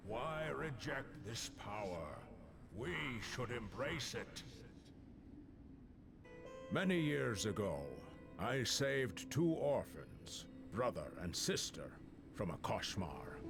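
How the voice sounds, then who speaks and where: A man playing a grave, embittered older monster-hunting master in a fantasy game, deliberate and dramatic, with music behind. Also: on the low side, vocal fry, full character voice. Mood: cold, bitter. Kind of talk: fantasy game character acting.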